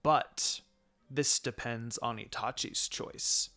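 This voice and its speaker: Casting: scheming old village elder for a story reading, played by a man, menacing, deliberate, coldly threatening. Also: medium pitch, steady tone, sharp tone. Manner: measured, menacing.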